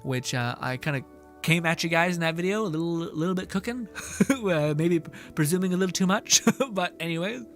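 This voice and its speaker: A man's voice: fairly high pitch, fairly quick. Rambling male streamer, faint music behind. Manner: rambling, many restarts and fillers. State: slightly hoarse. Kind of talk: casual stream chat, reading chat.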